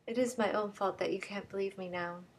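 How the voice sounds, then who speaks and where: A woman's voice: steady tone, brisk, close to an everyday voice. A woman voicing a desperate, theatrical young woman who begs and implores in a dramatic reading of a novel. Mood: ashamed. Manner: breathless, imploring, rising pitch.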